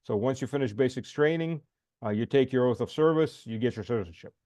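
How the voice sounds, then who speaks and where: A man's voice: mid-range voice, fast, warm tone, no room sound, clean studio sound. A middle-aged man, a native Russian speaker, hosting an interview over a video call and talking bluntly and emphatically, often repeating himself. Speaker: podcast host. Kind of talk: casual interview chat.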